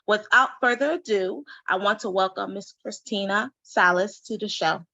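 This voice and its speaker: A woman hosting an interview, upbeat and enthusiastic, reacting with excited exclamations. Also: medium pitch, normal speed, vocal fry, no room sound. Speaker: show host. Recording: dull lo-fi dry sound.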